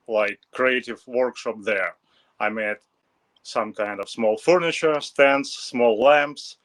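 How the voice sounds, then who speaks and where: A young man from Russia speaking English with a Russian accent, a guest telling his story in halting, pause-filled non-native speech. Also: medium pitch, fairly bright tone. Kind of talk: casual interview.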